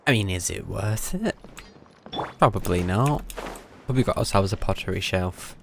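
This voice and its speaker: A man's voice: deep voice, lively intonation, moderate pace, gravelly, a little hiss or hum. A British man giving casual live game commentary, muttering his thoughts aloud with dry understatement. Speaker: game livestreamer. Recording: game music behind the voice. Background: video game music.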